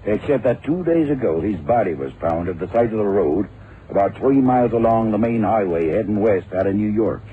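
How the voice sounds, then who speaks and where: An actor playing a brisk, authoritative agency chief in a radio drama, grave and dramatic in delivery. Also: male voice, on the low side, lively intonation, natural voice. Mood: grave, briefing. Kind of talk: 1960s-70s radio drama acting. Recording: narrow, noisy old radio recording.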